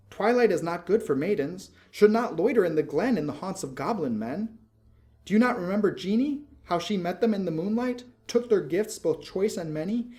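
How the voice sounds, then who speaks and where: Man voicing an anxious young maiden, pitched up high. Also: dark tone. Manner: urgent, admonishing. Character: anxious, cautionary young maiden, the sensible sister.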